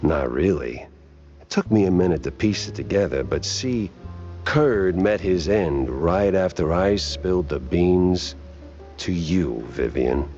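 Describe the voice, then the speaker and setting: An actor playing a world-weary, wisecracking cartoon private detective with a wry, laconic delivery. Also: male voice, sits low, animated, measured pace, dark tone, dull audio, soft background music. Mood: accusing, cool. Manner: wry, laconic, hesitant drawl. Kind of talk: 1930s hardboiled detective game acting.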